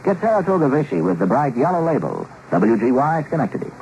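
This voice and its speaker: A man's voice: medium pitch, big pitch swings, fast talker, a little mellow. A man reading a scripted 1960s radio commercial as a station announcer. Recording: slightly noisy 1960s radio recording.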